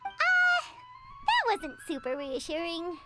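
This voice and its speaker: A woman voicing a small, excitable, childlike fairy companion in a video game, squeaky and speaking of herself in the third person. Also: takes it slowly, over loud music, full character voice. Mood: uneasy.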